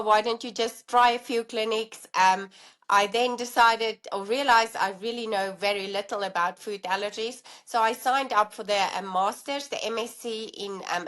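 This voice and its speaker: A woman's voice: medium pitch, fairly bright tone. A middle-aged woman from South Africa with a South African accent, a guest on a podcast, explaining her career in a friendly way with many fillers. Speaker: guest, allergy dietitian and professor. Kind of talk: podcast interview.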